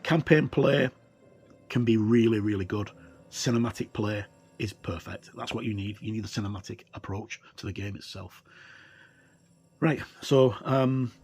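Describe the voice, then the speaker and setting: A middle-aged or older man giving a casual, unscripted look through a book as a tabletop game reviewer, in a rambling, hesitant way with many pauses. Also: lowish voice, a little mellow, husky. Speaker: reviewer and presenter of tabletop games. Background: ambient music with wind sounds.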